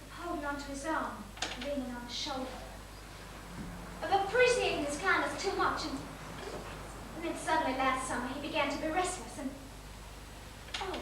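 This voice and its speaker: Actress as troubled young woman, high voice, halting dramatic delivery, stage play, echoey distant noisy recording. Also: close to an everyday voice. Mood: wistful, reflective. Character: fragile, troubled young woman recounting last summer's trauma. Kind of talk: amateur stage play acting.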